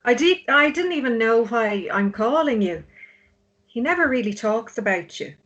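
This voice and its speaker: A woman's voice: fairly high pitch, animated, normal speed, a bit bright, lo-fi sound. A woman playing a blunt, worried, exasperated sister of a grieving drunk in a drama table read. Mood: hesitant, worried. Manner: blunt, pleading.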